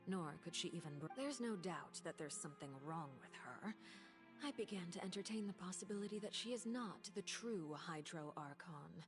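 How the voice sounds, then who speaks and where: Game spymaster: commanding, low-voiced, measured and formal, cool and calculating, with music clearly underneath. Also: female voice. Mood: brooding, calculating. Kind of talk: scripted video game voice acting.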